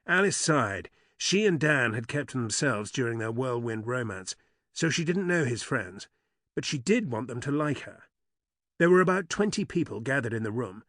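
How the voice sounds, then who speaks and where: Husky male audiobook narrator. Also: mid-range voice, dry recording, clean studio sound. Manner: measured, even storytelling. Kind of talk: scripted narration of a novel. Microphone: close studio mic.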